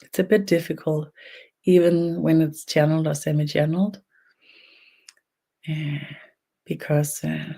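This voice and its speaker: A speaker from Denmark with a Danish accent and a gravelly creak leads a guided energy meditation online, speaking haltingly in short phrases with long pauses. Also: medium pitch, flat delivery, mellow. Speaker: spiritual energy healer leading an online session. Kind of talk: slow guided meditation and channeled talk.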